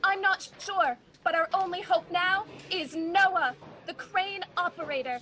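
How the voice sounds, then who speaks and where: A woman's voice: very high voice, measured pace, pushed and bright, slight background noise, exaggerated voice. A teenage girl playing an earnest, dutiful railway control operator in a school radio play. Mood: urgent, worried.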